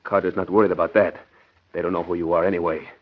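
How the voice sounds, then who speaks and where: Deep gruff young gangster henchman. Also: little pitch movement, quick pace. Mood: urgent. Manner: slangy, urgent underworld talk. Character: young male gangster henchman, slangy and loyal. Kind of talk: 1940s radio acting. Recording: muffled old radio drama recording.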